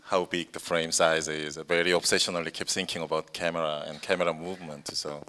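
Fast-talking deep-voiced man, Korean accent. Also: little pitch movement, pushed and bright, slight background noise. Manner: rapid talk, halting when in English. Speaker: film director, panel guest. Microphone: handheld mic.

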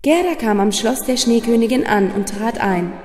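A woman's voice: on the high side, expressive, normal speed, darkish tone, big-room sound. A woman narrating a scripted fairy tale for children as a storyteller. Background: music.

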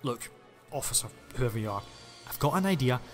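Man as a quirky chatty time traveller, self-deprecating, lively pitch, long reverb, music behind. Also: a little fast, close to an everyday voice. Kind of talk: fan audio drama acting.

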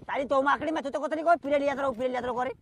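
A woman's voice: high voice, racing, crowd noise. A woman in a heated argument on a phone call, scolding abusively and repeating herself.

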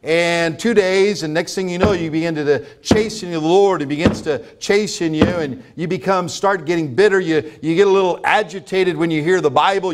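High-pitched American man preaching a sermon. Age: middle-aged. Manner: emphatic, repetitive, asking rhetorical questions. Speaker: pastor preaching. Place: church sanctuary, at a pulpit before a congregation.

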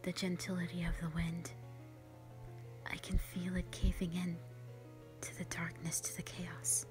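A woman voicing a gentle, protective elder dragon in a slow, solemn, whispered audio roleplay monologue, full of sorrow. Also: lowish voice, completely flat delivery, natural voice. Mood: sorrowful, brooding. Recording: music behind the voice.

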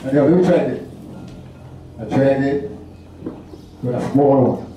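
A man's voice: medium pitch, unhurried, mellow, slight background noise, close to an everyday voice. A Vincentian man playing a wary, superstitious veteran whaler in a live stage dramatization, with an insistent, repetitive way of talking. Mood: uneasy. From St. Vincent and the Grenadines. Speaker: performer in a folk whaling troupe. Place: festival tent stage, far camera. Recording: distant, very echoey, muffled festival tent recording.

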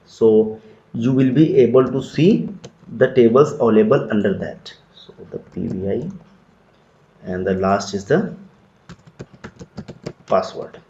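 A man giving a steady step-by-step screen-recorded software tutorial, repeating phrases as he explains. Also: fairly low pitch, slow pace, long pauses. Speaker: tutorial instructor. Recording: dull lo-fi roomy sound.

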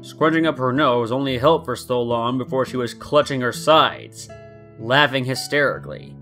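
A man's voice: medium pitch, lively intonation, normal speed, fairly bright tone, faint music behind. A man narrating fan fiction as a scripted story.

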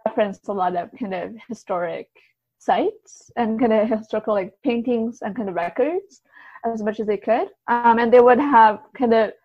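A woman speaking as an interview guest, casually recounting experiences in a halting, think-aloud way. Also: fairly high pitch, husky, dry recording, muffled recording. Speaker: podcast guest, writer and translator.

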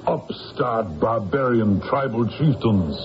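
An actor playing a big, brutal, arrogant Roman official in a radio drama, commanding and contemptuous. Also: male voice, fairly low pitch, lively intonation, takes it slowly, soft background music. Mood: haughty, commanding. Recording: dull, noisy vintage radio recording.

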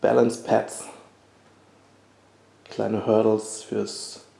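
A man's voice: lowish voice, very slow, creaky. A man in his thirties, a personal trainer, casually touring his small gym and talking to viewers as friends. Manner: relaxed, chatty, addressing viewers as friends. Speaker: personal trainer and fitness vlogger. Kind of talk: casual vlog tour and update. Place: small private gym, indoors. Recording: echoey room with faint background hum.

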